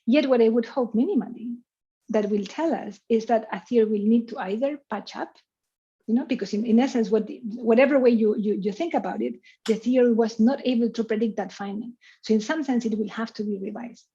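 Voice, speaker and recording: Woman on a dull muffled call, warm soft tone, rambling in a friendly way through a Q&A. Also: on the high side, fairly quick. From Chile. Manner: talkative, heavy on "you know" and "like", laughs often. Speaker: invited speaker. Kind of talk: online Q&A after a lecture. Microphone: video call. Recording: muffled video-call audio.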